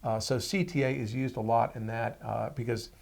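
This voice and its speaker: A middle-aged or older man speaking as a physician guest in an interview, explaining things in a measured, plain-spoken way. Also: mid-range voice, fairly even intonation, creaky.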